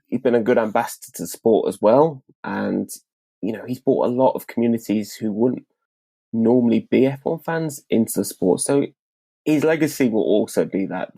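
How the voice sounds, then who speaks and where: A man's voice: fairly low pitch, gravelly, dark tone, husky, no room sound. A man speaking as a podcast guest in casual discussion, earnest in manner. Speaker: podcast guest, motorsport editor.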